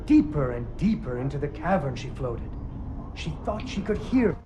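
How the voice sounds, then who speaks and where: This is a young girl narrating a puppet-show fairy tale in a storybook lilt. Her pitch swoops up and down with theatrical flair, and game music is plainly heard underneath. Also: very animated, moderate pace, low-quality recording. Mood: storybook telling. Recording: game audio with music behind.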